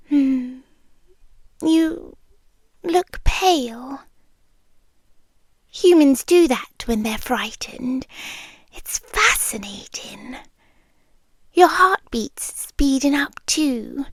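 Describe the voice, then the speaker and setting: A British woman voicing a possessive, doting female spider monster in an intimate ASMR roleplay, speaking softly, often whispering, with sweet menace. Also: high-pitched, husky, dry recording, full character voice. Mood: eerily fascinated.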